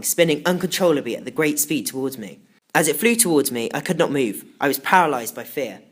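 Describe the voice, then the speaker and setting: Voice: medium pitch, fairly bright tone. A narrator reading a first-person children's story as a scripted audiobook.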